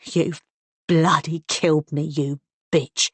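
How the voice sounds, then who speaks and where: A gruff, mumbling ruffian of a husband, as a woman narrator voices him, spoken mostly near her own low, husky register with little extra colour. He sounds surly and worn, recorded close in a dry room. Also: a little slow, a little mellow, no echo. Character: surly injured rough male drunkard.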